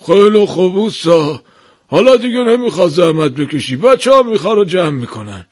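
Man putting on a high, placating workshop boss. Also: a little mellow. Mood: reassuring. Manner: emphatic, placating, exclamatory. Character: workshop master craftsman straining to stay patient and polite.

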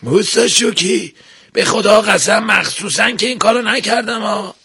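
A man's voice: high-pitched, gravelly, bright, forceful tone, breathy edge, voice pushed up, exaggerated voice. A man voicing a hapless, clumsy workman in a storybook tale, apologetic, flustered and earnestly pleading his innocence.

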